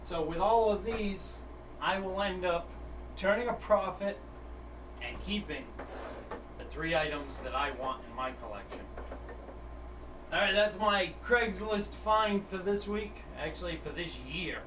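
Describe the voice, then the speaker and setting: A man's voice: sits high, measured pace. A man chatting plainly and casually, as in a rambling hobby show-and-tell video. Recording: roomy, distant mic, clear air-conditioner noise. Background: air conditioner running.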